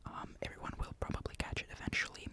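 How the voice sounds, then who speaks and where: A young man from Finland, whispering breathily in a casual, rambling ASMR-like monologue despite a sore throat. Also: fast. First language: Finnish. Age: young adult. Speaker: military conscript recounting service.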